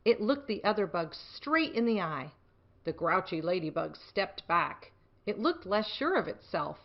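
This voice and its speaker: A woman's voice: lowish voice, big pitch swings, unhurried, lo-fi sound. A woman narrating a children's picture book aloud as a scripted story reading.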